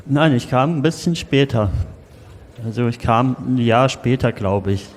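An easygoing former onion who does his own thing as a kohlrabi showman, improvised by a man. The pitch is middling and the talk casual. It sounds like a live comedy radio play in a reverberant hall. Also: animated, a little slow, natural voice. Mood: casual, self-assured. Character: easygoing ex-onion man turned kohlrabi, individualist show imitator. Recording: echoey hall, live workshop performance.

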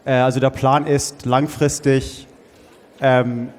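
A man playing a hesitant, glum club founder who speaks haltingly in an improvised comedy radio play. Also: medium pitch, flat delivery, a little mellow, a little hiss or hum, natural voice. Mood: hesitant, downbeat. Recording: echoey hall with long reverb.

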